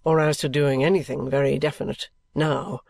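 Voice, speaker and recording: Male actor as young gentleman, period novel dialogue: earnest, weary, evasive, creaky edge, very dry sound. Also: medium pitch, steady tone, normal speed, no room sound. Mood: restless, resigned. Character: restless young gentleman, affectionate but bitter and evasive. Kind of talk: acted dialogue in a classic novel audiobook.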